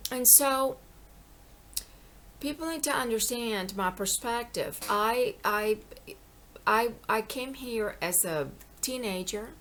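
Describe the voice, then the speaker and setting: A woman's voice: on the high side, takes it slowly, long pauses, fairly bright tone. A middle-aged woman in an impassioned personal monologue. She rambles and repeats herself, with many "you know"s, and pleads with her viewers. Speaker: vlogger.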